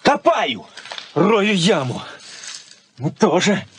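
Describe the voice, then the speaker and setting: Earnest, rebellious young fiancé. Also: male voice, high voice, sing-song, very slow, fairly bright tone, exaggerated voice. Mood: determined. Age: young adult. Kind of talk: Soviet radio play acting. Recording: noisy 1980s radio play recording.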